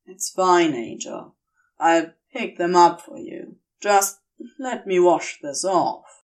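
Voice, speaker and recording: A woman's voice: on the low side, takes it slowly, far from the mic. A woman voicing a protective male demon with a dry, clipped but reassuring manner. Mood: soothing.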